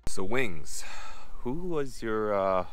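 Low-voiced man reviewing food. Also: very animated, takes it slowly, over loud music. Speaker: food vlog host. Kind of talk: casual restaurant review to camera. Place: busy restaurants and an outdoor park. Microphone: camera at about arm's length.

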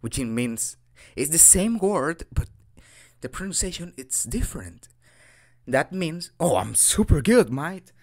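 A man's voice: a little high, very animated, a little slow, darkish tone. A young man from Mexico with a Mexican accent, a native Spanish speaker, improvising a dorky, hesitant roleplay as a boyfriend giving a casual language lesson. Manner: giggly, dorky, hesitant, self-deprecating. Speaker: audio roleplay creator playing a boyfriend teaching Spanish.

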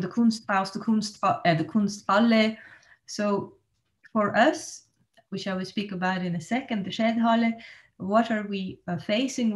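A woman in her forties who grew up in Switzerland, giving a thoughtful online presentation from a small room at home, pausing often with ums and restarts. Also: mid-range voice. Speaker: art space director. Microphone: laptop webcam on video call.

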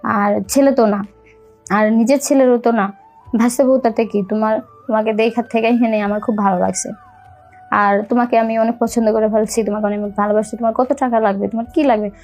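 A young woman with a Bangladeshi accent telling a personal story in a halting, repetitive, colloquial way. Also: fairly high pitch, fairly even intonation, darkish tone. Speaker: storyteller recounting own life. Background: music.

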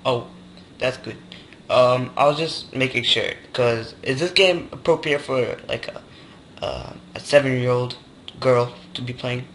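A young man from Canada making a casual, deadpan prank call over the internet. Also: medium pitch, measured pace. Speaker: prank caller. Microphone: computer voice call. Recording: internet call with faint noise.

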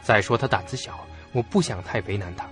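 An actor narrating a suspense audio drama in the first person as a curious, stubborn young student, with expressive, suspenseful delivery. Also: male voice, lowish voice, fairly quick, lightly acted. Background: music behind the voice.

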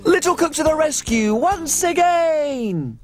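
A man's voice: very high-pitched, sing-song, slow, faint music behind, exaggerated voice. A man playing a tiny, cheerful cook who tells a children's storybook tale in a bouncy, sing-song way. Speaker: children's TV presenter.

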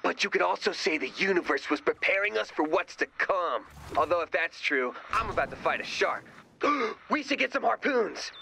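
Gullible young male game character, earnest, melodramatic, bright voice, swooping intonation, faint game noise behind. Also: high voice, expressive, moderate pace, creaky, heavily acted. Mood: excited. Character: excitable, earnest, gullible young man, conspiracy-minded slacker. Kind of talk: animated video game character dialogue.

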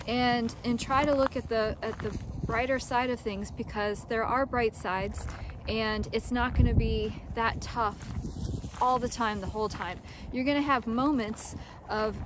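A young woman giving earnest, reassuring advice to a phone camera held at arm's length on an outdoor dirt trail, often pausing with fillers and restarts. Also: a little high. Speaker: vlogger, thru-hiker. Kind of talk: encouraging casual advice to camera. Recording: outdoor recording with clear background noise.

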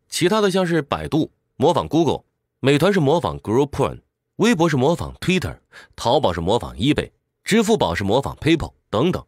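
A man's voice: medium pitch, no echo, clean studio sound. A man narrates a scripted explainer in a didactic way, posing questions and answering them. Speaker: audiobook summary narrator.

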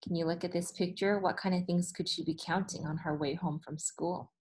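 A woman in her thirties, a teacher reading a storybook aloud to children with deliberate phrasing and expressive emphasis. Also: on the low side. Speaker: elementary school teacher. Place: small indoor room with whiteboard.

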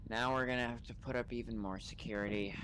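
A man's voice: mid-range voice, steady tone, quick pace, noisy background. A man talking casually in character in an online role-playing game over a voice chat microphone.

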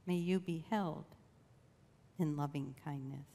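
A woman leading a guided meditation in a slow, gentle, soothing way with long pauses. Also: sits low, fairly even intonation. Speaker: church meditation leader. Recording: long reverb of a large room.

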